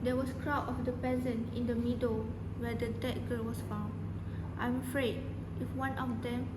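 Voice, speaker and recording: Casting: amateur actress as troubled villager with tragic news, high female voice, stagey delivery, noisy recording. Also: level tone, normal speed, natural voice. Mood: anxious. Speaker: amateur student actor. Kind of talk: stage play dialogue, recited.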